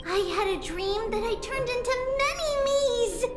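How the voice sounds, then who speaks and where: A woman voicing an innocent, eager little girl in a video game, talking in a halting, earnest, childlike way. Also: extremely high pitch, lively intonation. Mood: wondering, happy. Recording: roomy, with music behind.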